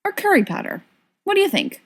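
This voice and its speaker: A woman's voice: very high-pitched, big pitch swings, fairly quick, voice pushed up. A woman reading a storybook part as a cheeky, melodramatic young man who teases and plays mournful. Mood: teasing. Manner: theatrical, mock-mournful, teasing.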